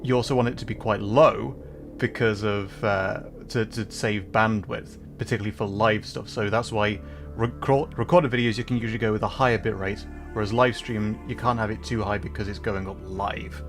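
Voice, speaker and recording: A man's voice: fairly low pitch, fairly even intonation, normal speed. A man streaming a game, chatting casually, rambling and apologetic about technical setup. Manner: rambling, self-deprecating, apologetic. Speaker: VTuber streamer. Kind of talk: casual livestream chat. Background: background music.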